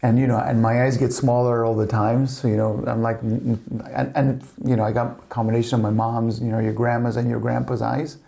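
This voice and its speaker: A man's voice: medium pitch, little pitch movement, fairly quick, gravelly, few pauses, dark tone. A middle-aged man from Spain with a slight Spanish accent, telling family stories in a rambling casual chat full of "you know". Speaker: family podcast guest.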